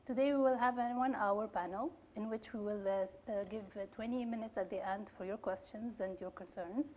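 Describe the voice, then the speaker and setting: A woman's voice: mid-range voice, steady tone, a little fast, darkish tone, a little hiss or hum. A young woman, a PhD student, moderating a panel in a friendly way with some hesitant fillers. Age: young adult.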